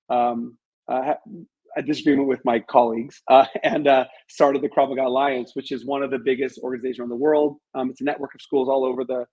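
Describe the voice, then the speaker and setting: A man's voice: mid-range voice, warm tone, highs cut off. A middle-aged man answering as an interview guest, explaining things conversationally and with emphasis. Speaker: interview guest, author and martial arts instructor.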